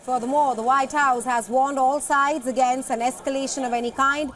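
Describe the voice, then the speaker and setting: A woman's voice: high voice, fairly even intonation, moderate pace, a bit bright. A woman presenting the news, reading a scripted report.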